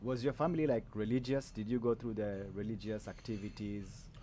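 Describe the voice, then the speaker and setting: A man's voice: fairly low pitch, fairly even intonation, brisk, warm tone, a little hiss or hum. A man hosting a podcast, chatting casually with a guest, loose and rambling with lots of fillers and restarts. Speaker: podcast host. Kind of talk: casual interview chat.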